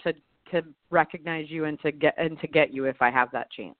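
A woman's voice: deep voice, a little fast, dry recording. A woman interview guest recounting her own experience in the first person, often repeating phrases as she searches for words. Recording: tinny narrow phone-like sound.

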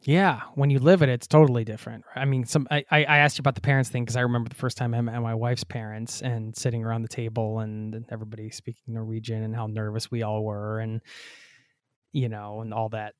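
A chatty American man hosting a podcast, rambling easily through a casual conversation with a laugh here and there. His voice sits at an ordinary middle pitch. The sound is dry and close. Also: flat delivery, fast, dry recording. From United States. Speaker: podcast host. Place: home in Norway.

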